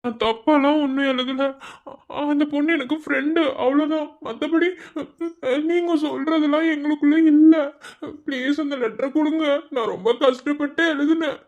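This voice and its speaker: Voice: high-pitched, steady tone, moderate pace, darkish tone, lo-fi sound, pitched above the performer's own voice. A performer doing a whiny, pleading college boy. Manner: whiny and pleading, begging "please". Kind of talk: comic sketch acting.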